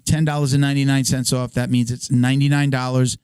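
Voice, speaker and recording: A man hosting a podcast in a casual, halting way, reading sponsor spots with a voice he is losing. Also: medium pitch, flat delivery, darkish tone, clear, resonant tone, no room sound, studio quality. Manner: halting, restarts his read. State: hoarse, losing his voice. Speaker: podcast host. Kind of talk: casual sponsor read and banter.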